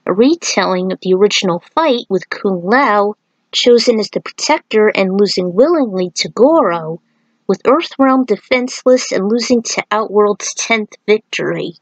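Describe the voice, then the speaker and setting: A woman narrates an amateur fan fiction story and speaks as its writer. Her pitch is mid-range for a woman. The recording is dry, with very little room sound. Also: lively intonation, moderate pace, no room sound, low-quality recording.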